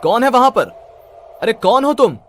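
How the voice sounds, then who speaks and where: A man's voice: very high-pitched, expressive, normal speed, pitched above the performer's own voice. A man voicing an anxious, pleading young husband in a dramatized horror cartoon story, agitated and prone to stammer. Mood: panicked, frightened. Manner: agitated, stammering when frightened.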